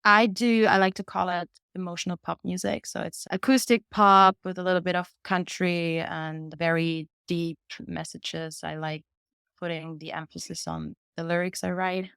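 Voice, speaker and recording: A woman's voice: fairly low pitch, steady tone, moderate pace, creaky, fairly bright tone, smooth, dry recording, clean studio sound. A woman, answering interview questions in an earnest, candid way and telling her own story. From Vienna, Austria. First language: German. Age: 20s. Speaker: podcast guest, singer-songwriter.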